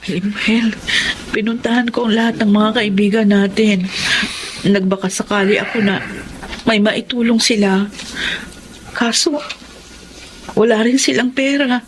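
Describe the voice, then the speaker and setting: A woman's voice: a little high, fairly even intonation, a little slow, long pauses, a little hiss or hum, close to an everyday voice. A woman playing a devoted, desperate young wife and mother in a Filipino drama, earnest and emotional. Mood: pleading, worried. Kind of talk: Filipino radio drama acting.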